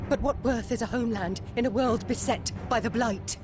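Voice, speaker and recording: A woman's voice: a little high, brisk, lightly acted. A woman voicing a haughty, bitter middle-aged noblewoman mother, imperious and theatrical, in a game cutscene. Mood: haughty, grandiose. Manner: imperious, grandiose, formal. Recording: game music behind. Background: orchestral game music.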